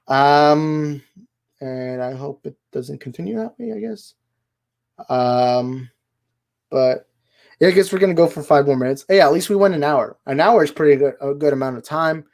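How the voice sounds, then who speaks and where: A man hosting a casual live movie chat, his voice hoarse and worn out, rambling and correcting himself as he goes. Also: a little high, animated, moderate pace, long pauses, a little mellow. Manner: rambling, self-correcting, laughs easily. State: hoarse, tired voice. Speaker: livestream host.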